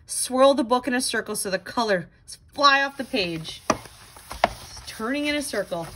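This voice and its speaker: A woman reading a children's picture book aloud in an expressive, dramatic, exclaiming way. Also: fairly high pitch, moderate pace, noisy background. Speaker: storybook reader.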